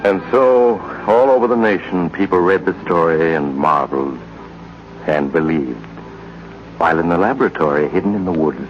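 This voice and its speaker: An actor playing an ominous storyteller, narrating a scripted old radio mystery. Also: male voice, low-pitched, animated, moderate pace, over music. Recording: muffled old radio recording.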